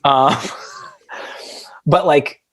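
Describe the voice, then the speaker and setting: A man's voice: on the high side, extremely slow, a bit bright. A man speaking as a podcast guest and indie band frontman, telling stories casually in a rambling way full of "like" and "you know". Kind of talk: casual conversational storytelling.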